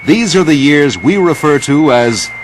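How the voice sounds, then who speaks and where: A man's voice: on the high side, lively intonation, unhurried. A man reading scripted, documentary-style narration as a radio narrator. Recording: old broadcast tape with hum, hiss.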